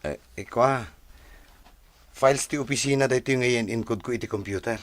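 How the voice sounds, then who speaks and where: A man's voice: mid-range voice, a little fast, no room sound, natural voice. A man with an Ilocano accent playing a hesitant, easily cowed office boss in a radio drama. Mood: flustered, hesitant. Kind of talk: Philippine radio drama acting.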